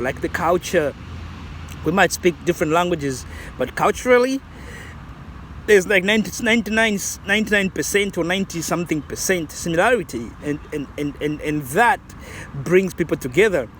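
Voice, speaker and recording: A man in his late thirties with a fairly high-pitched voice. He muses in a loose, reflective way, circling back and restarting his thoughts. Faint traffic hums behind him outdoors. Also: lively intonation, brisk, fairly bright tone. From Zambia. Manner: reflective, rambling, many restarts. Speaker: vlogger. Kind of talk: casual vlog commentary.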